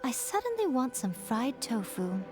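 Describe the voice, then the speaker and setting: Sly game shrine maiden, haughty, playful, very animated intonation, music clearly behind. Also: female voice, on the high side, measured pace. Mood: sly teasing. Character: sly, teasing, self-assured female shrine keeper spirit. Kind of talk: video game dialogue acting.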